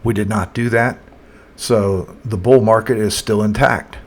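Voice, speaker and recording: A man presenting a casual, unscripted chart commentary as a market analyst, thinking aloud in a halting way. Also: lowish voice, fairly even intonation. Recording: noticeable background noise.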